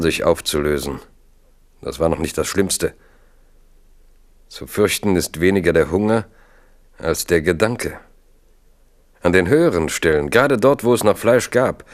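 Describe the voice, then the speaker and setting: Male narrator for historical radio drama: very low voice, measured, literary storytelling. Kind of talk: scripted literary narration, 1970s radio play.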